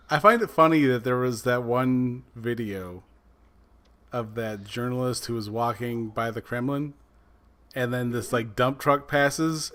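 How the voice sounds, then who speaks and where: A man's voice: medium pitch, a bit bright. A man with an average voice on a casual podcast. He sounds laid-back and a little mumbly, handling the show's clips while joking along with the others. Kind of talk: casual group chat.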